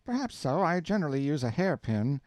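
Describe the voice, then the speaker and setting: A man doing a shrill gossiping housewife on the phone. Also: sing-song, a little fast, dry recording, clean studio sound. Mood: exclaiming. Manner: shouting into the phone, exclaiming. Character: chattering housewife on the telephone.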